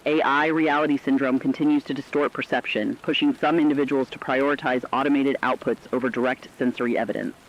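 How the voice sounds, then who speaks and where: A man playing a serious radio correspondent, giving a scripted crisis report in a formal, measured way through dull radio sound. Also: medium pitch, close to an everyday voice. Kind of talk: scripted emergency news report.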